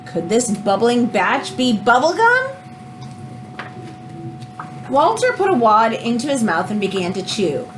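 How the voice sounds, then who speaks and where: A woman reads a children's book aloud in an expressive, teacherly way, adding explanatory asides as she goes. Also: fairly high pitch, a little slow, pauses often, background noise.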